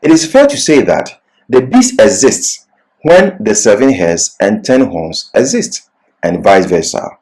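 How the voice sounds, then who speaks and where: A man's voice: fairly low pitch, big pitch swings, measured pace, a little mellow, low-quality recording. A man giving an expository Bible lecture as a teacher, didactic and leaning on key words.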